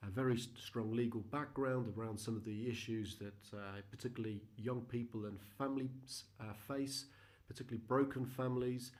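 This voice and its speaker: A middle-aged man from Britain speaks in a lowish voice, giving a recorded slide presentation to a church congregation. He sounds earnest and measured, and he often stops to fill gaps with ums and uhs. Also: a little mellow. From United Kingdom. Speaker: mission partner presenting to a church.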